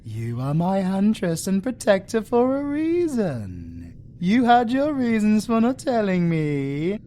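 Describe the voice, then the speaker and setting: A theatrical British alpha werewolf, wildly swooping. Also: male voice, sits high, wildly swooping pitch, dark tone, full character voice. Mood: tender, commanding. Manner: slow, dramatic, wildly swooping delivery. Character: theatrical, vengeful alpha male werewolf, doting lover. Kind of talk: audio roleplay acting.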